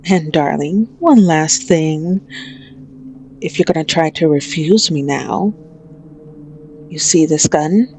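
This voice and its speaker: A very slow, deliberate female mafia boss, acted out by a woman for an ASMR roleplay, with a slightly low voice. She is possessive and manipulative, taunting her hostage with mock tenderness and open menace. Faint noise sits behind the voice. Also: darkish tone. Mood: cold threat.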